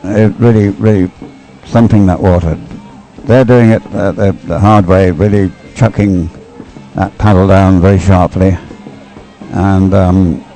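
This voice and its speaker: A man's voice: low-pitched, flat delivery, measured pace, warm tone, faint music behind, rough recording. A man giving unscripted live sports commentary in a hesitant, rambling, stop-start way.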